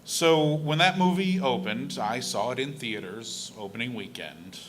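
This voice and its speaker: A man's voice: medium pitch, expressive, measured pace, a little hiss or hum, poor audio. A young man giving an earnest, conversational reflection at a church service, speaking into a pulpit microphone. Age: young adult. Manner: earnest, conversational, with fillers and a self-deprecating laugh. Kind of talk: personal reflection talk from a pulpit. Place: church sanctuary.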